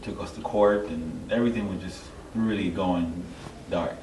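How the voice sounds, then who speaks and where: A middle-aged man from Haiti giving a personal testimony as an interview guest, halting and searching for his words. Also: low-pitched, fairly even intonation. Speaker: interview guest, church member. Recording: echoey, distant mic, clear background noise.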